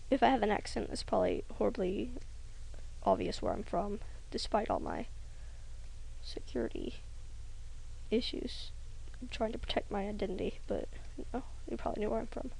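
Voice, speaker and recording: A young woman rambling hesitantly over a drawing tutorial, often trailing off into soft near-whispers. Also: medium pitch, creaky, slightly rough, poor audio. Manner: rambling, hesitant, self-conscious, many ums. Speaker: hobby animator.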